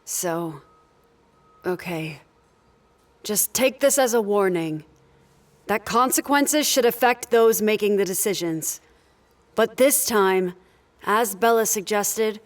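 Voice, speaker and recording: A woman's voice: mid-range voice, close to an everyday voice. A woman playing a stern, decisive leader of a sci-fi crew in an audio drama, speaking firmly and directly. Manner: firm, commanding, direct.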